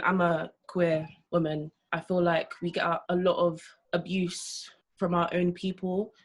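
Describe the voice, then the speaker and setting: Flat-voiced woman. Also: lowish voice. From London. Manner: earnest, passionate. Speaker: panel guest.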